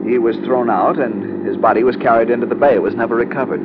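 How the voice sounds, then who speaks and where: A man's voice: mid-range voice, steady tone, fast, noisy background, natural voice. An actor playing a formal, easily scandalized office man in a 1940s radio drama. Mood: prim. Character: prim, proper male insurance office man. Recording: muffled old radio broadcast.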